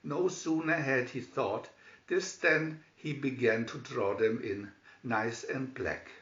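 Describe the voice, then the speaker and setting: A man reading a children's story aloud in an expressive, theatrical manner, as a scripted narration. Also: mid-range voice, slow. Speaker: storybook reader. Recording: echoey room, distant dull microphone.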